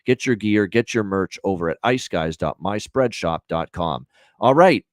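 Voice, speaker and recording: Lower-pitched man hosting a betting show. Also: expressive, normal speed, no echo, clean studio sound. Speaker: sports betting show host. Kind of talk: unscripted betting show commentary and promotion.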